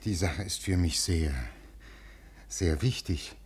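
Anxious, husky, low-voiced male scholar. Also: slow pace, full character voice. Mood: nervous, earnest. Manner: earnest, pleading, over-explaining. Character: anxious, pleading, scholarly male literary historian. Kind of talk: 1950s German radio play acting.

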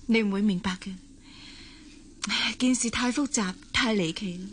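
A woman's voice: mid-range voice, moderate pace, slight background noise, close to an everyday voice. An actress playing a kind, reclusive young woman in a radio drama, earnest, with a Hong Kong Cantonese accent. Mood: weary, guarded. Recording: prominent music behind the voice.

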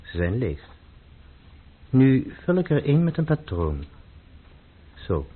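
An actor playing a grave, troubled man in a radio play, speaking formally and deliberately with a husky voice. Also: mid-range voice, very animated, full character voice. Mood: calmly instructing. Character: grave, troubled man obsessed with purity, calmly instructing. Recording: muffled, phone-like old radio recording.

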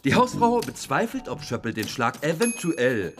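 An actor playing a disdainful, insinuating accuser in a German radio play. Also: male voice, medium pitch, big pitch swings, normal speed, soft background music, natural voice. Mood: accusing. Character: disdainful, sneering male accuser of welfare claimants.

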